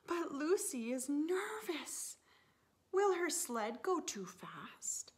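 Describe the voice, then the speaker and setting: A woman in her thirties, a teacher reading a picture book aloud to young children in an expressive, animated manner with drawn-out words. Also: high voice. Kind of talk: expressive children's story time read-aloud. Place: living room, indoors on a sofa.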